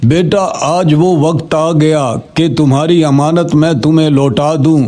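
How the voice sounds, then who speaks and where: A man's voice: fairly high pitch, steady tone, measured pace, dark tone, close to an everyday voice. A man voicing a kindly, solemn holy old man from a dream in a cartoon story.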